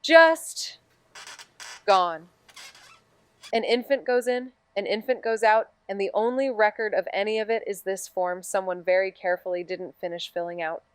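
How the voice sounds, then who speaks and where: Casting: actress for wry, guarded woman detective, smooth clear voice, intimate dry sound, understated noir audio drama. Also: mid-range voice, normal speed, dry recording, natural voice. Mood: focused, grave. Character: wry, introspective, guarded woman amateur investigator. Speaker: actor in a neo-noir mystery podcast. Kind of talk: naturalistic audio drama dialogue and narration.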